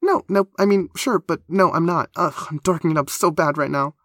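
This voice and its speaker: A man voicing an excitable, nervous young female creature in an audiobook, gushing, flustered and breathless as the words tumble out. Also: medium pitch, brisk, no room sound, clean studio sound, voice pushed up, lightly acted. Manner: gushing, flustered, stammering, emphatic. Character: excitable, nervous teenage girl creature with barbed-wire hair.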